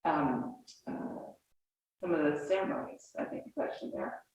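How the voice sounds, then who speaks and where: A woman's voice: low voice, normal speed, darkish tone, dull audio. A woman moderating a library event informally, heard from a distance in an echoey, noisy room. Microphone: distant room microphone.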